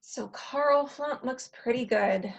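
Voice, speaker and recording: A woman's voice: sits high, slow, lo-fi sound, exaggerated voice. A woman playing a blunt, worldly, teasing character with a dry, knowing manner in a comedic table read. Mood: incredulous, scheming.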